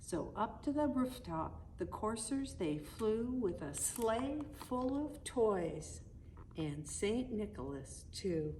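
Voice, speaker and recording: A woman's voice: mid-range voice, takes it slowly. Woman reading a storybook aloud, slightly distant. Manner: animated, emphatic storytelling with conversational commentary. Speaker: storybook reader. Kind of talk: expressive picture-book read-aloud with chatty asides to young listeners. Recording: distant mic with audible background noise.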